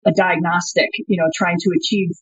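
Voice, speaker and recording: A woman's voice: lowish voice, fairly even intonation, brisk, husky, dry recording, highs cut off. A woman company co-founder answering interview questions thoughtfully, often restarting her sentences. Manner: thoughtful, frequent restarts and fillers.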